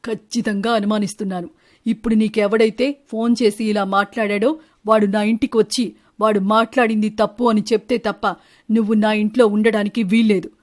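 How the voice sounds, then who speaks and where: Wronged husband bird for a cartoon moral tale, played by a woman, mid-pitched, probing and confrontational. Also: little pitch movement, a little fast, darkish tone, natural voice. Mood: accusing. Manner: confrontational, questioning. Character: suspicious, wronged male bird husbands and lovers, accusing. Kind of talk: animated Telugu moral-story dialogue.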